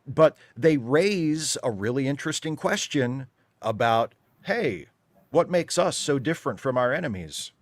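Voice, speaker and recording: A man's voice: medium pitch, expressive, unhurried, no echo. A man chatting casually and giving his opinions emphatically, with exasperated rhetorical asides. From United States. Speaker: panelist on a fan talk show.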